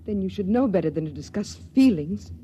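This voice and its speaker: A woman's voice: mid-range voice, animated, fairly quick, lo-fi sound, natural voice. A woman playing a timid young attendant. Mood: frightened. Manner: timid, breathless. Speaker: character in a 1950s radio play. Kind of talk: 1950s radio acting. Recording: hissy vintage radio broadcast.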